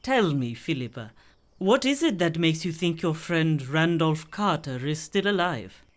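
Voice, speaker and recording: An actor in a radio play voicing a mysterious, well-travelled swami with measured, cryptic, formal diction. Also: medium pitch, sing-song. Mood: intrigued.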